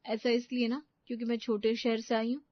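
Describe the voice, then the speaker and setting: A woman voicing an anxious, sweet young daughter-in-law in an animated family story, often hurt and tearful. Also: a little high, brisk, no room sound, highs cut off, pitched above the performer's own voice, natural voice.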